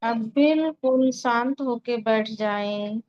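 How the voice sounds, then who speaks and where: A woman leading a guided meditation in a calm, soothing way, giving unhurried instructions with long pauses. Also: on the high side, lo-fi sound. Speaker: meditation guide and Reiki healer.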